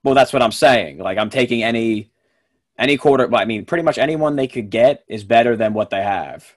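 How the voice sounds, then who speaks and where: A young man hosting a casual sports podcast, relaxed and quick to laugh, with plenty of fillers. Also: medium pitch, no echo, clean studio sound. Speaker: podcast host.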